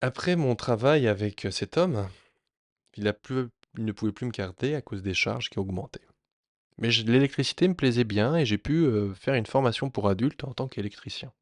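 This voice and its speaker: A man sharing a personal story in a reflective, earnest way, often pausing and restarting his sentences. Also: mid-range voice, animated, dry recording, clean studio sound. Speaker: vlogger.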